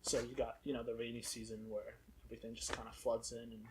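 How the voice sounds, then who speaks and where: A man's voice: mid-range voice, little pitch movement, fast, background noise. A young man from Ethiopia chatting easily as a talk-show guest, laughing often and saying "you know" a lot. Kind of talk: casual chat.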